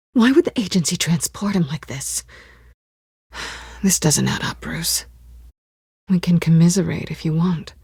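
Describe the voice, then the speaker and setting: Sardonic female thief, video game dialogue, slightly low pitch, breathy husky voice, flirtatious, understated, dry studio sound. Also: darkish tone, no room sound, full character voice. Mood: hushed, troubled.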